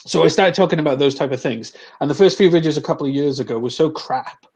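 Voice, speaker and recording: A man from Wales with a faint, mostly smoothed-over Welsh accent, talking bluntly and casually as a guest on a video call, with frequent restarts. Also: a little high, quick pace, a little mellow. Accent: soft Welsh, mostly neutralised toward English. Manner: blunt and chatty, mild swearing, frequent restarts. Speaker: guest, recruitment agency owner.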